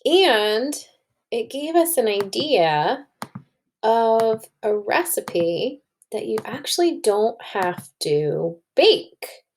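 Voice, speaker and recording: A woman's voice: on the high side, very animated, extremely slow, darkish tone, a little hiss or hum. A woman teacher doing a children's read-aloud and story discussion, thinking aloud, recorded indoors at a computer.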